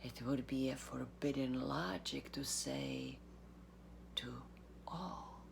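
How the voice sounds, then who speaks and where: A woman giving a literary reading, with deliberate, measured phrasing, long pauses and a breathy, gravelly voice. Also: rumbling low, fairly even intonation, background noise. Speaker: artistic director of a theatre, reading aloud.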